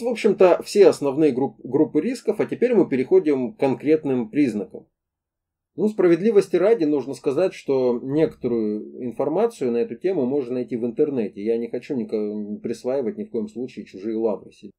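A man's voice: mid-range voice, mellow, husky. A man giving an explanatory talk to camera in a didactic, emphatic way, with rhetorical questions and repeated points. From former Soviet country, moved to Russia as a child. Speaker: host of a pedagogy talk series. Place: indoor room against a plain wall.

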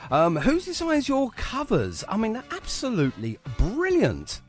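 Bloke doing a chatty radio interview, jokey and effusive, voice goes up and down loads, bit of music behind. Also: male voice, very high voice, huge pitch swings, measured pace. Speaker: radio show host.

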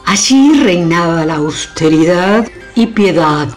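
A woman's voice: lowish voice, animated, takes it slowly. An actress playing a proud, domineering older queen in a historical radio drama, with a theatrical, scornful delivery. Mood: proud, reminiscing. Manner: theatrical, emphatic repetitions, haughty. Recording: music under the voice.

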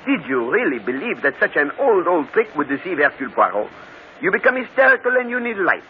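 A shrewd, self-assured detective with a French accent. His fairly high voice swings widely, with mock politeness and theatrical precision. It comes over a tinny old radio broadcast. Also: sing-song, fairly quick, a little hiss or hum. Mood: triumphant, mocking. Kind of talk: 1940s radio mystery acting.

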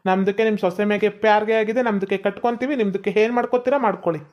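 Brief: a man as a brash, fast-talking guy mangling his Kannada, dull lo-fi sound. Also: sits high, steady tone, natural voice. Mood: arguing. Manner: odd broken phrasing, cheeky. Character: brash young man speaking broken Kannada. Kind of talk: comedy skit acting.

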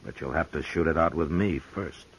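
An actor playing a steady, commanding frontier marshal in a 1950s radio drama. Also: male voice, rumbling low, moderate pace, husky, full character voice. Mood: firm. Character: calm, firm frontier marshal, grown man.

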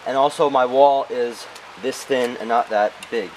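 A young man with a mid-pitched voice talks through his work in a plain, matter-of-fact way. He is outdoors in a forest, recorded on a camera mic. Faint outdoor ambience sits behind him. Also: fairly even intonation, a little slow, background noise. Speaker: bushcraft vlogger. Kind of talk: casual narration while working. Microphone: camera mic on a tripod or handheld.

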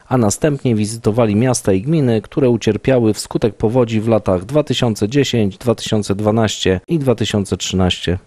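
A man reading a scripted local news voice-over, smooth and even. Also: fairly low pitch, no room sound. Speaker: news reporter.

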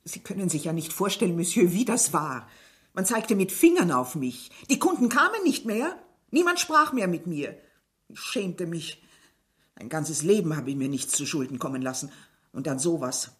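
Bitter, defensive village mother, low voice. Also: sing-song, moderate pace, fairly bright tone. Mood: bitter, ashamed. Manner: defensive, aggrieved. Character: strict, bitter, complaining French village widow and mother. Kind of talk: German radio drama acting.

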